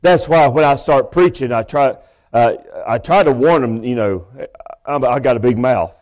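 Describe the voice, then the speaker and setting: A man, preaching a sermon from a church pulpit microphone in a big, loud voice with folksy asides. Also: mid-range voice, moderate pace. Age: 50s. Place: church sanctuary.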